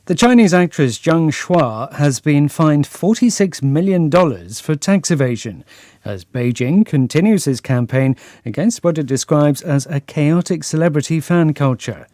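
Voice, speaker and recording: A man reading world news headlines in a measured, formal broadcast delivery. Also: a little high, animated, dark tone, dry recording, clean studio sound. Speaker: newsreader.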